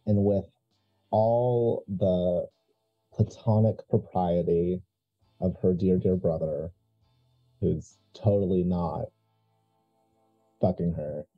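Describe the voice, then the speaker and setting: A man's voice: low-pitched, steady tone, takes it slowly, long pauses, dull audio, faint music behind. A man playing a tabletop roleplay game, casually improvising narration for his character.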